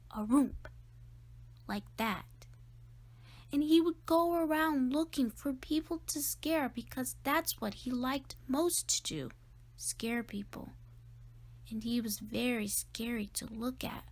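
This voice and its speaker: Woman playing a slow-talking young storybook boy. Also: high-pitched, exaggerated voice. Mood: eerie, relishing. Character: young boy Reggie, sleepy, secretive, spooky storyteller. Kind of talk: storybook read-aloud.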